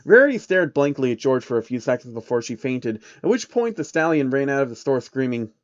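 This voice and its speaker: A man's voice: mid-range voice, sing-song, fairly quick, no room sound, muffled recording. A man reading fan fiction aloud in a casual storytelling style, with irreverent, sweary asides. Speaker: narrator of online fan fiction.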